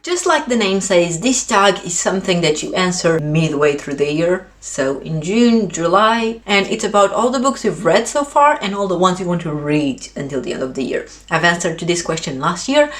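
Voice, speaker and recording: Lower-voiced woman, expressive book vlogger. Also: moderate pace, hardly any pauses. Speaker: book reviewer video host. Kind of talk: casual chat to camera.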